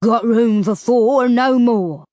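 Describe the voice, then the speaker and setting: Throaty rumbling croak, menacing scaly male ferryman, slow and drawn-out, put on by a woman reader, dry studio sound. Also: dark tone, no echo. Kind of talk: audiobook character voice.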